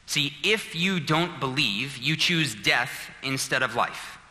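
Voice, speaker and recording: A man's voice: medium pitch, animated, slow, pushed and bright, big-room sound. A man in his thirties preaching a sermon, telling a personal story and building to fervent, emphatic appeals. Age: 30s. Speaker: pastor.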